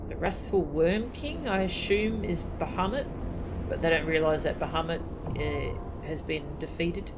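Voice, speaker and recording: A woman's voice: low voice, normal speed, noisy background. A woman streaming a video game, chatting casually, reading game text aloud and laughing often. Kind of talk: casual gameplay commentary. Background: game music.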